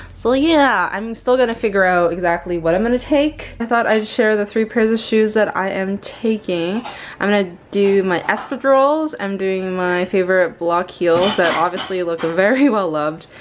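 A young woman from Montreal in her twenties, chatting casually and cheerfully to a handheld camera in her bedroom as a lifestyle vlogger. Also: medium pitch. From Montreal, Canada. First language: English. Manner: chatty and upbeat, laughs often. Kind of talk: casual vlog chat to camera. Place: small bedroom at home. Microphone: handheld camera at arm's length.

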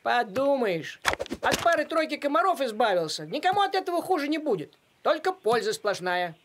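An actor voicing a grumpy, stubborn male house cat in an animated series, grumbling indignantly. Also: sits high, moderate pace. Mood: dismissive. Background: cartoon music.

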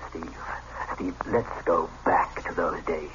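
A composed, analytical doctor speaks in a lowish, measured voice. He coaxes like an old friend in a radio play. The vintage recording is muffled and noisy. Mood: coaxing, nostalgic. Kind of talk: old-time radio drama acting.

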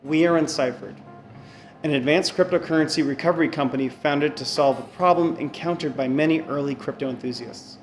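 A man in his forties reading a scripted open letter to camera from a hardware lab, measured and earnest. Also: on the high side, soft background music. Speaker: company spokesman.

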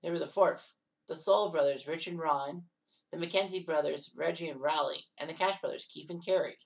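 A woman in her thirties narrating sports history casually, rambling with offhand asides and quick self-corrections. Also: low voice, gravelly, far from the mic, highs cut off. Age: 30s.

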